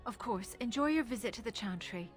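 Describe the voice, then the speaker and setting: An actress playing a thoughtful, sceptical religious scholar in a fantasy game, speaking earnestly and articulately. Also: female voice, a little high, animated, a little fast, faint music behind, close to an everyday voice.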